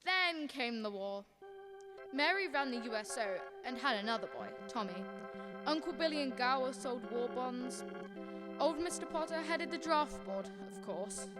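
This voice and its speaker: A woman's voice: sits high, animated, normal speed, over loud music. A young woman playing a patient, authoritative senior angel telling a story in a student radio drama. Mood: storytelling. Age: young adult.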